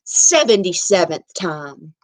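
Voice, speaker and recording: A woman's voice: on the low side, very animated, takes it slowly, no echo. Woman playing a grumpy, scornful old man. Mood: proud, stubborn. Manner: petulant, stout grumbling.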